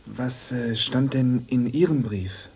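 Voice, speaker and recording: A man's voice: medium pitch, a little mellow, husky. An actor playing a shy, lonely young man in a crime radio play, answering hesitantly and awkwardly. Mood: shy, flustered. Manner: hesitant, halting short answers. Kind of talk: 1990s German crime radio play acting.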